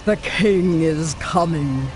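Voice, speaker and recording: A man's voice: on the high side, heavy background noise. A man voicing a reverent, zealous villain in a video game cutscene, portentous in manner. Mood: exultant reverence. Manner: slow, portentous. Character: reverent, zealous villain heralding an undying king.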